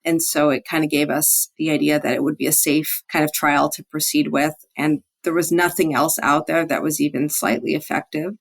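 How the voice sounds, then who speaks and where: A woman's voice: sits low, little pitch movement, normal speed, creaky, hardly any pauses. A woman physician being interviewed as a study author, explaining clinical research.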